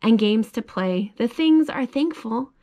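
Gentle mother reading a picture book. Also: on the high side, lively intonation. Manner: gentle, slow and sing-song, coaxing questions. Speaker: mother reading to her toddler. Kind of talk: bedtime picture book read-aloud. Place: child's bedroom at home. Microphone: phone held above the reader's lap.

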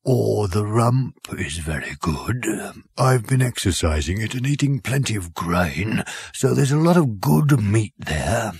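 A man voicing a courteous fat bovine creature that offers itself as the dish of the day, in a slow, ingratiating way with a husky voice. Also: lowish voice, very animated, darkish tone, dry recording, heavily acted. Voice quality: gravelly and husky.

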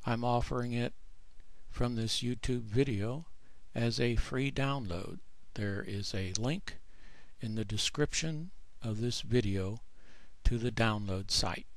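A man narrating a screen tutorial as the author, explaining plainly in a measured way. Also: mid-range voice, no room sound. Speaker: author presenting his own book.

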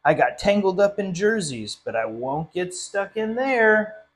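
A young man with a fairly high-pitched voice reads a picture book aloud. He addresses young listeners in a friendly, animated storytime style. He sits in a small classroom, a little way from the camera. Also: a little slow. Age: young adult. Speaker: literacy council director reading a story.

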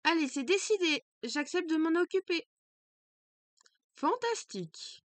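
A woman's voice: very high-pitched, expressive, measured pace, no echo, voice pushed up. A woman voicing a jovial older man who runs a school, speaking theatrically.

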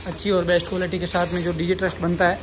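High-pitched, fast-talking salesman. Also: completely flat delivery. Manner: repetitive, running sales patter. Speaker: factory owner promoting his workshop's products. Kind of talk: improvised promotional video pitch to camera. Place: welding workshop at a factory. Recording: noisy workshop with hiss and machinery.

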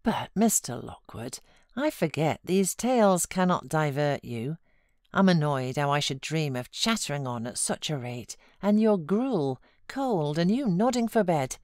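A woman's voice: low-pitched, expressive, a little slow, a little mellow, no room sound, studio quality. Woman reading as a scolding British housekeeper. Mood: brisk, scolding. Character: chatty, scolding female housekeeper telling tales.

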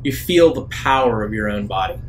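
A man working as game master, narrating a roleplaying game haltingly in short phrases with many pauses. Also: lowish voice, expressive, faint music behind, rough recording.

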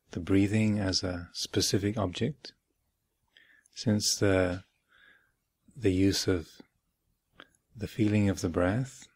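A low-voiced man leads a guided meditation at a very slow pace. His voice has a gravelly creak, and he offers each short phrase in a measured way, leaving long pauses before the next. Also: dry recording. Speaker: meditation teacher. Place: retreat center, close dry recording.

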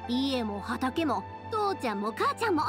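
A woman voicing a cheerful, big-sisterly young fireworks maker in an anime game, with a Kansai accent and a lively, reassuring, colloquial way of talking. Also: high voice, a little slow, over loud music. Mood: encouraging. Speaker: video game character voice actor. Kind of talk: anime-style game dialogue.

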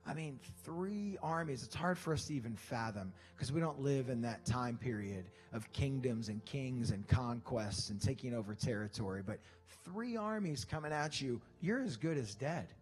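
A man in his thirties preaching a conversational sermon on a church stage into a handheld microphone, animated and playful. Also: medium pitch, moderate pace, hardly any pauses, soft background music. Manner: animated, conversational, with laughs and acted-out asides. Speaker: guest preacher. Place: church stage with wooden wall, indoors.

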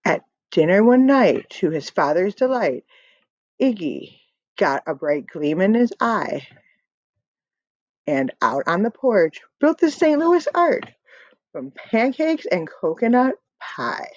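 Animated woman reading slowly aloud. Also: mid-range voice, no echo. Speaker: read-aloud storyteller. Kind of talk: expressive children's picture-book reading. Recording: dull lo-fi webcam audio.

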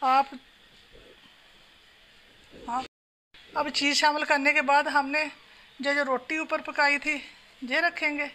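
A woman calmly explaining a home recipe step by step while she cooks. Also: high-pitched, slow pace, pauses often. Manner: calm, instructional, talks while working. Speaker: home cooking channel host. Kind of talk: step-by-step cooking instructions. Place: home kitchen. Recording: noisy home phone recording.

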